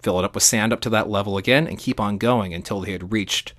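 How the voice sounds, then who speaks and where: A man's voice: on the low side, fast, fairly bright tone, no echo, clean studio sound. A man co-hosting a podcast, explaining history in an enthusiastic, conversational and emphatic way. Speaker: podcast co-host.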